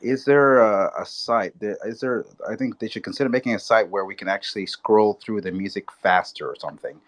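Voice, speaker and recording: A man joining a live discussion as a participant, talking casually in a rambling, halting way. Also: on the low side. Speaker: audience participant.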